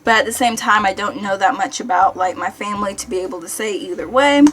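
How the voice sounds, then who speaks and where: A young American woman chatting casually to viewers in an animated, self-deprecating way, like a vlogger. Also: mid-range voice, fast, a crowd in the background. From Kentucky, United States. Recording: music behind the voice.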